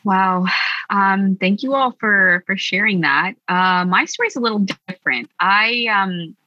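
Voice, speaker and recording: A young Canadian woman hosting a casual chat, asking questions in a warm, encouraging way. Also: mid-range voice, a little slow, no room sound, lo-fi sound. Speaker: host.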